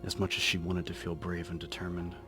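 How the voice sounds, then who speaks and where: A man with a very low voice narrates a horror story. His delivery is measured and suspenseful, held extremely flat in pitch, over a faint bed of music. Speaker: audiobook narrator. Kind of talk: dramatic scripted horror narration.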